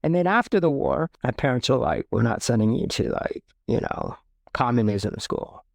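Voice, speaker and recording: A man's voice: mid-range voice, very animated, moderate pace, creaky, no echo, clean studio sound. A middle-aged man from Vietnam who grew up in Canada, telling stories casually and informally as a podcast guest, rambling and acting out quoted remarks. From born in Vietnam, immigrated to Canada at ten. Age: middle-aged or older. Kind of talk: casual, reflective storytelling interview.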